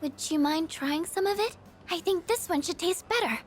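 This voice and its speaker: Really high shy teen girl game character, soft n stammery, insecure, bit of music behind. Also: expressive, normal speed. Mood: shyly hopeful. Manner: hesitant, stammering, soft-spoken and apologetic. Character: shy, gentle, insecure teenage girl student. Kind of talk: video game character dialogue voice acting.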